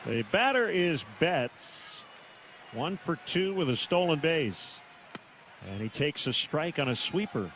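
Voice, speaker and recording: A man doing live baseball play-by-play on the radio in measured, terse calls, with pauses between them. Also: on the high side, very animated, fairly bright tone, slight background noise. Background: outdoor ballpark ambience.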